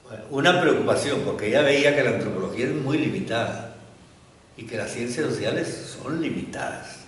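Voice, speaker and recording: An older man speaks slowly and reflectively, as an academic being interviewed. His delivery is halting and thoughtful, punctuated by small questions seeking agreement. The room echoes strongly around him, and he sits away from the microphone. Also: mid-range voice. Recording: roomy, echoing, distant mic, faint noise.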